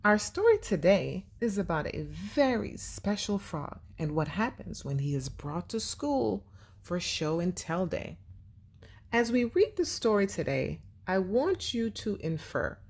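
A woman with a slightly low voice gives a lesson for young children. She speaks very slowly and deliberately, in simple words, asking her listeners questions and reading aloud as if from a storybook. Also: husky. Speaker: teacher and story reader for children.

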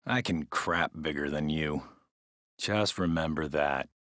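A man playing a stern, middle-aged ex-army stepfather in a video game, with an American accent and a gruff, commanding manner. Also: extremely low pitch, measured pace, fairly bright tone, no room sound, studio quality. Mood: stern, menacing.

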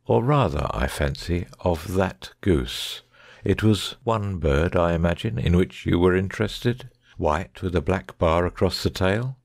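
A man's voice: low voice, a little slow, creaky, darkish tone, dry recording, clean studio sound, exaggerated voice. A man playing a shrewd, commanding, coolly sardonic detective with precise, formal speech in an audiobook dramatic reading. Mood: dryly amused.

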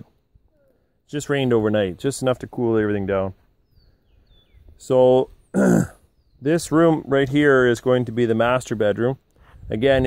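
A man's voice: mid-range voice, a little mellow. A middle-aged man talks casually through a tour of his timber house frame outdoors, recorded on a handheld camera and rambling with many fillers. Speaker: vlogger touring his house build. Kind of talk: casual walkthrough narration.

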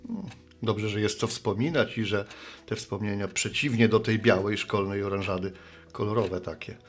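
A man's voice: lowish voice, normal speed, soft background music, close to an everyday voice. An actor playing a good-natured, sheepish middle-aged man, a postman and bar regular, talking in a folksy, rambling way in a radio play. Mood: nostalgic.

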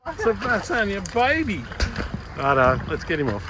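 A man's voice: high-pitched, moderate pace. A man hosting a sailing vlog, chatting casually and calling out encouragement to a fisherman on deck. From Australia. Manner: excitable, calls out encouragement. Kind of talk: casual onboard chat and coaching. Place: open sailboat deck at sea. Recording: wind and boat noise.

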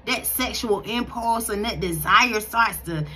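A woman's voice: medium pitch, measured pace, sharp tone, a little hiss or hum. A woman in her thirties giving casual devotional encouragement to her viewers from home, talking earnestly in a preachy, conversational way full of restarts. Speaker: faith vlogger. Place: bright room at home, indoors. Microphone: phone camera at close range.

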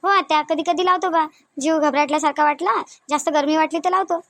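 A young daughter-in-law from a comedy cartoon acted by a woman speaks in a high voice with a Varhadi Marathi accent. She sounds wary and reluctant, quick to defend herself. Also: fairly even intonation, a bit bright, no echo. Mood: defensive. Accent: Varhadi Marathi (Vidarbha).

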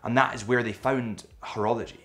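Young Scottish male presenter, animated, eager delivery, mid-pitched, small indoor studio. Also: fairly quick. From Scotland. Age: young adult. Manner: animated, gestures with his hands. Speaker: watch retailer presenter. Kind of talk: enthusiastic watch vlog narration.

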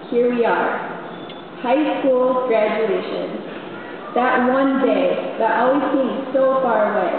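Teen girl speech over the pa, slow careful pauses, far off in a big echoey hall, tinny and noisy. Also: a little high. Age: late teens. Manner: measured, deliberate pauses. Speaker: graduating student speaker. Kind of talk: graduation speech. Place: large hall or venue over loudspeakers. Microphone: podium mic, taped from the audience.

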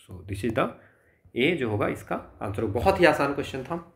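A man's voice: mid-range voice, expressive, normal speed. A man with an Indian accent working step by step through exam problems as a physics tutor, explaining and often checking in. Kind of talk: step-by-step exam solution walkthrough.

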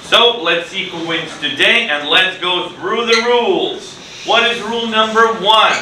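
A middle-aged man speaking as an English teacher, with deliberate, clearly enunciated delivery. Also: high-pitched, sharp tone, a little hiss or hum, low-quality recording. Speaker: ESL teacher and video host. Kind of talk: presenting to camera and leading a children's class.

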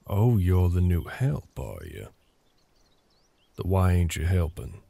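A man voicing a gruff, terse older cowboy with a Southern US drawl and a gravelly voice in a roleplay audio drama. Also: low voice, huge pitch swings, takes it slowly, mellow, no echo, heavily acted. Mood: irritated, gruff. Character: gruff older cowboy ranch hand, grumpy, curt, grudgingly helpful.

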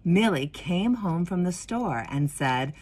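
A woman in her forties reading a children's story expressively, with deliberate pauses and emphasis. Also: fairly low pitch, animated, a little mellow. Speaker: minister of religious education reading a picture book.

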